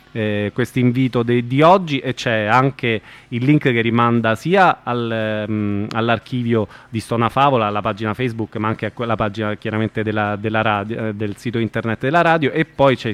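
Smooth-voiced man interviewing on radio. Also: mid-range voice. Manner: hesitant, halting phrasing with searching pauses. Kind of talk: informal live radio interview.

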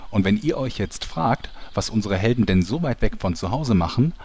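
A man acts as the storyteller of a comedy audio drama. His fairly low voice welcomes listeners genially and leads into the tale in a scripted narrating style. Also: lively intonation, moderate pace, darkish tone, slightly rough. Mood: welcoming.

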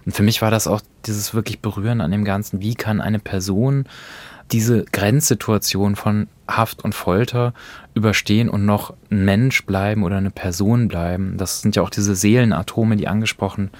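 Guy podcast co-host, lowish voice, thoughtful chat about radio drama, keeps asking for agreement. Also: steady tone, moderate pace, a little mellow, no echo. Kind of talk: conversational, reflective discussion of radio drama.

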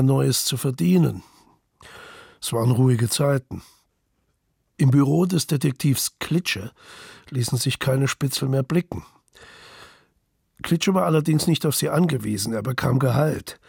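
A man's voice: mid-range voice, unhurried, vocal fry, pauses often, a little mellow, breathy edge, no room sound, studio quality. A man reading scripted literary narration as the narrator of a radio play.

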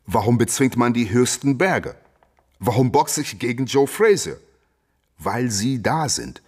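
A man's voice: medium pitch, expressive, measured pace, breathy edge. A man in a German radio play acting a proud, defiant boxing champion who declaims and argues back with rhetorical questions.

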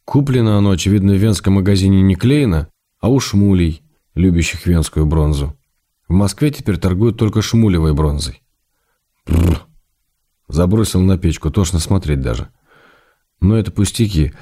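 A man's voice: low-pitched, moderate pace, warm tone. An actor playing an affectionate, wry writer reading his intimate letters to his wife in a radio drama. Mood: wry grumbling.

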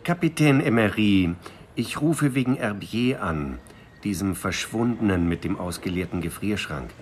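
An actor playing a calm, probing police commissaire with a measured, questioning manner in a radio crime drama. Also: male voice, fairly low pitch, slight background noise, close to an everyday voice. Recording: faint background ambience.

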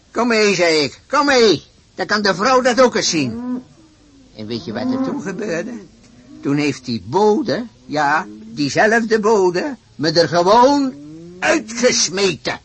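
A gullible, stubborn old farmer in a radio drama. His voice is fairly high and very animated. He blusters indignantly, stammering and repeating himself. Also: slow pace, heavily acted. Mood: aggrieved. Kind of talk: radio play acting.

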